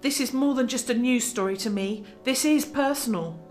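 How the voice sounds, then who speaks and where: A woman's voice: on the high side, normal speed, darkish tone, faint music behind, close to an everyday voice. A woman playing a determined, earnest news reporter in a radio drama, with emphatic delivery.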